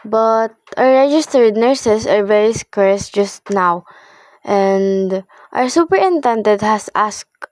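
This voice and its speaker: A woman's voice: medium pitch, darkish tone, no room sound, lightly acted. A young woman acting a polite, formal, unhelpful hospital receptionist in an amateur radio play. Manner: measured, officious, courteous.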